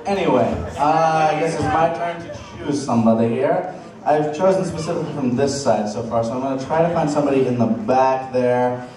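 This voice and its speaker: A man's voice: medium pitch, fairly quick, a crowd in the background. A man chatting casually and joking with the audience as a convention panelist. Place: large hotel convention panel room. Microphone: distant handheld audience camera. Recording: very echoey, noisy room from far off.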